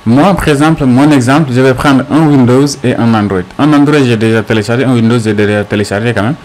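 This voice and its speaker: A man teaching an unscripted tech tutorial step by step, haltingly, often asking questions and answering them himself. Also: mid-range voice, darkish tone.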